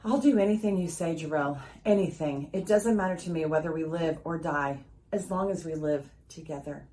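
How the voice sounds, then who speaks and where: A woman's voice: low voice, normal speed, warm tone, natural voice. A woman playing a devoted, anxious wife and mother on an alien world, earnest and melodramatic in retro radio-theater style. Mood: worried, devoted.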